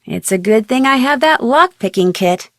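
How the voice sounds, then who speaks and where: A woman's voice: a little high, expressive, moderate pace, no echo, clean studio sound. A woman voicing a curious, plucky young female detective in a video game, musing aloud expressively.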